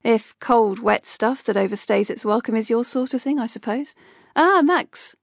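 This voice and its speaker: A woman's voice: a little high, moderate pace, dry recording, studio quality. A woman voicing Rupert, a crude old sorcerer. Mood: offhand. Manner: offhand, sweary, blunt. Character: man, hundreds-of-years-old sorcerer, flippant and crude.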